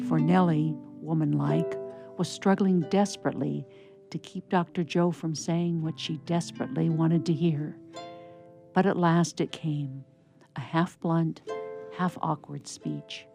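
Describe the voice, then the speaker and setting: A woman's voice: deep voice, creaky. A woman narrating a scripted story for radio theatre, reading as the storyteller. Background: soft plucked harp underscore.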